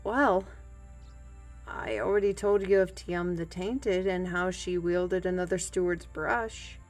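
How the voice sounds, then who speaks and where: A woman's voice: on the low side, steady tone, slow pace. A woman playing a humble, plain-spoken fantasy trail guide and storyteller in an audio roleplay, with folksy, old-fashioned and hesitant phrasing. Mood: storytelling. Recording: music bed behind the voice.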